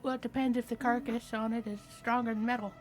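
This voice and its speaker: A woman's voice: a little high, little pitch movement, quick pace. A woman talking casually as a player in a tabletop game. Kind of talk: casual chat. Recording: music behind the voice.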